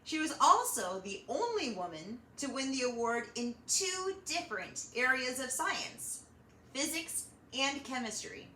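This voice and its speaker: Children's storytime host: woman, bright high voice, encouraging and direct, recorded in an echoey room. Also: unhurried. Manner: animated, encouraging, talking directly to young listeners. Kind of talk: children's storytime reading and chat. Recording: roomy echo, slight background noise.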